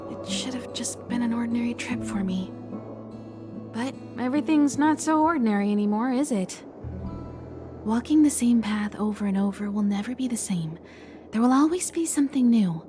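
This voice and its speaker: A woman playing a composed, encouraging mentor in a video game, speaking in a gentle, reflective and reassuring way. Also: on the high side, expressive, normal speed, lightly acted. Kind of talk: dramatic video game dialogue. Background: background music.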